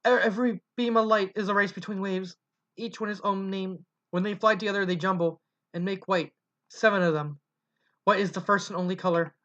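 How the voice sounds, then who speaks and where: A reader voicing a dazed young colt who murmurs in halting, dreamy fragments with stammers. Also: medium pitch, fairly even intonation, a bit bright, pitched above the performer's own voice, lightly acted. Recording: dull lo-fi recording.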